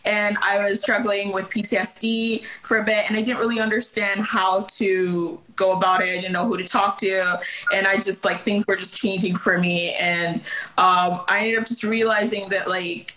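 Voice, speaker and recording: A woman's voice: mid-range voice, little pitch movement, normal speed, sharp tone, rough recording. Earnest young woman on a video call. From Nigeria. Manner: earnest, reflective, frequent "like" and "you know". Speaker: interviewer, network rep. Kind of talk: casual video-call conversation. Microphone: laptop camera on video call.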